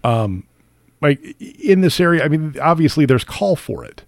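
A man hosting a casual interview, asking questions with stammering restarts and quick friendly interjections. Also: fairly high pitch, expressive, creaky, no echo, clean studio sound. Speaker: radio talk show host.

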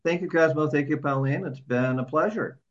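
A man who is a stage actor, guesting on an interview in a relaxed, chatty way. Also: on the high side, fairly quick, dull audio. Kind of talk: casual interview.

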